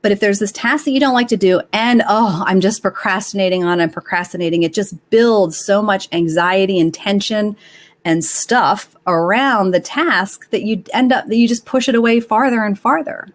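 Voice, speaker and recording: A woman's voice: mid-range voice, moderate pace. A woman hosting a live advice show, talking conversationally in an encouraging, explanatory way to her audience. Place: live online call-in broadcast.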